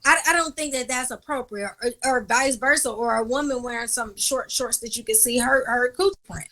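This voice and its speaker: A woman's voice: on the high side, moderate pace, bright, forceful tone, low-quality recording. A woman co-hosting a casual chat, speaking bluntly and frankly in slangy everyday speech. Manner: quotes others in put-on voices.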